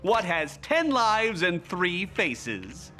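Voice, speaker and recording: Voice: mid-range voice, very animated, drawn-out words, sharp tone, soft background music, exaggerated voice. An actor voicing a smug, teasing, theatrical riddling supervillain in a comic video game.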